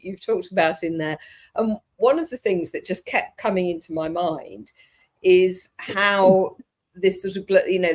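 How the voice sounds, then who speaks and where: A podcast host talks with a guest, enthusiastic and a little rambling, filling gaps with "um" and "you know". The voice sits at an even middle pitch. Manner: enthusiastic, rambling, hedging with fillers. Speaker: podcast and live show host. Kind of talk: casual interview talk.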